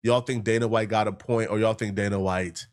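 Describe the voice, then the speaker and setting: A man's voice: on the low side, fairly even intonation, brisk. An American man hosting casual reaction commentary, slangy and speaking straight to his viewers. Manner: slangy, addresses viewers directly. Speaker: reaction video host.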